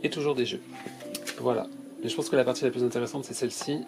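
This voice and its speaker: A man's voice: mid-range voice, steady tone, fast talker, creaky, music in the background. A man with a cold, unboxing toys for his channel and describing each item in a hesitant, casual way with many fillers. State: stuffy from a cold. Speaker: toy unboxing youtuber.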